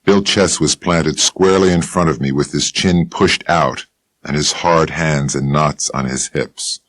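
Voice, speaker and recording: A man reading a first-person audiobook in a laconic, dry, unhurried manner, recorded in a studio. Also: very deep voice, steady tone, fairly bright tone, no echo. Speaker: audiobook narrator.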